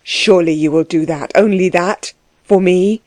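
A woman's voice: on the low side, normal speed, a little mellow, dry recording, lightly acted. A woman voicing an impassioned, insistent man pleading for love in an audiobook story. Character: lovesick man, intense, pleading, insistent, hopeless.

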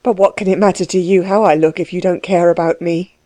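A woman's voice: fairly low pitch, steady tone, a little fast, mellow, no room sound, natural voice. A woman voicing an impassioned, insistent man pleading for love in an audiobook story. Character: lovesick man, intense, pleading, insistent, hopeless.

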